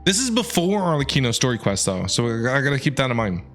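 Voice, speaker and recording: A woman's voice: extremely low pitch, very animated, quick pace. A woman streaming a game with casual, chatty live reactions, talking back to the characters over faint game music.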